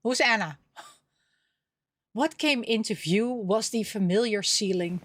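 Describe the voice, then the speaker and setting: A woman's voice: medium pitch, measured pace, fairly bright tone, no echo. A woman streaming a visual novel, chatting casually and reading the game text aloud. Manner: reacts aloud with small interjections. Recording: music playing behind the voice. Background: game music.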